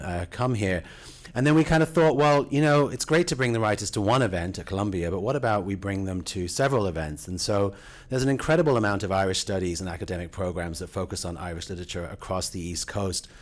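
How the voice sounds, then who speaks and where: A man introducing a public event in an informal, conversational and enthusiastic way, with halting phrases. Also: low-pitched. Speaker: cultural affairs official introducing event. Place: event room at a university.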